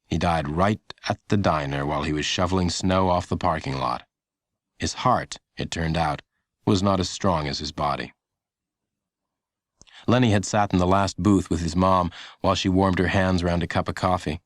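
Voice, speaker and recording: A man reading a children's audiobook as scripted narration, in a measured, dramatic storytelling manner. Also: low-pitched, no room sound.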